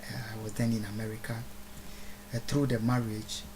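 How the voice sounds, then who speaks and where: A man from Ghana with a Ghanaian accent giving a solemn, formal, oratorical address, halting with long pauses and deliberate repeated phrases. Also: on the low side, level tone, background noise. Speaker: speaker recording a video address. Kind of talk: solemn public address, unscripted.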